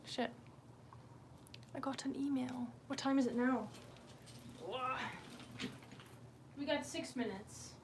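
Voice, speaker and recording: A woman's voice: high-pitched, steady tone, slow, pauses often. A woman hosting a casual livestream, rambling informally and self-deprecatingly, swearing freely as she talks. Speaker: livestream host. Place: indoors at home, window open. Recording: noisy room with open window.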